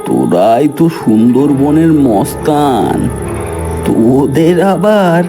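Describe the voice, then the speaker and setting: A man voicing a wise, gruff old village uncle in a cartoon, speaking deliberately and questioningly. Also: mid-range voice, big pitch swings, music in the background. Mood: gruff, doubting. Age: older. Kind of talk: cartoon character acting.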